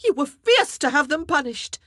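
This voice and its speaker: A woman's voice: fairly high pitch, expressive, a little slow, slightly rough, no echo, clean studio sound, pitched above the performer's own voice. A woman doing a proud, defiant young queen. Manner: pleading, then defiant and sharp.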